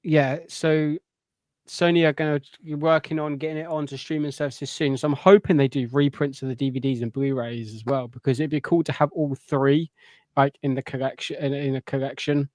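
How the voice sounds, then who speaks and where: A man chatting casually about films as a podcast co-host, halting and often rephrasing as he goes. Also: on the high side, level tone, a little mellow, no room sound. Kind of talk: casual film chat.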